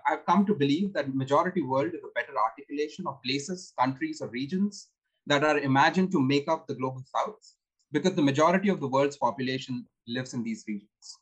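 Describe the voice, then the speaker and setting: A man speaks as a guest and explains an academic idea. His voice is fairly high and husky. He speaks in measured phrases and uses formal words. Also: a bit bright, low-quality recording.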